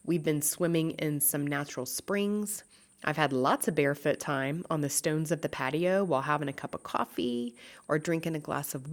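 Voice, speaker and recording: Low-voiced bubbly woman with a stuffy nose. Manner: bubbly, upbeat and chatty. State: stuffy nose, slightly raspy from allergies. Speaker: podcast host, nutritionist. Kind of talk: casual solo podcast talk. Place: large room with stucco walls.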